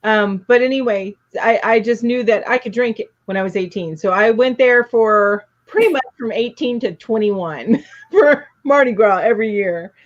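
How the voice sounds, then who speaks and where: A fairly high-voiced woman chats casually on a live video call, laughing easily and greeting viewers by name. The audio sounds dull and lo-fi, like a compressed stream. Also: moderate pace. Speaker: co-host of a live crochet show. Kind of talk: casual chat on a livestream.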